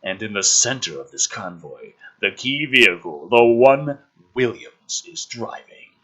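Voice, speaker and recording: A man doing an animated read-through of a script, theatrical, with exasperated and mocking asides. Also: lowish voice, unhurried, dull audio. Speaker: host reading and mocking a film script aloud.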